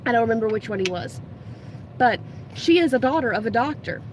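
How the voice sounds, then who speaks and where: Female vlog co-host, higher-pitched, playful casual storyteller, heavy car noise throughout. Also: lively intonation, a little fast. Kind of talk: casual geek news chat. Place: inside a moving car. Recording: loud car and road noise.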